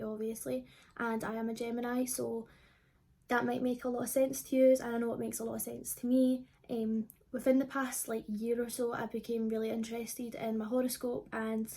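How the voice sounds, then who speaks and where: A woman's voice: a little high, little pitch movement, moderate pace, warm tone, breathy edge. A twenty-year-old woman with a Scottish accent, chatting casually to camera as a vlogger in an informal, rambling way. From Scotland. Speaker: vlogger answering viewer questions.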